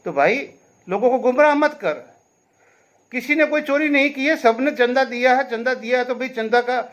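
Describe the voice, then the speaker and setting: High-voiced emphatic man speaking to camera. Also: moderate pace, a bit bright, highs cut off. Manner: emphatic, addresses listeners directly; repeats a tag question often. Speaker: veterans' rights commentator. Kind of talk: direct-to-camera commentary.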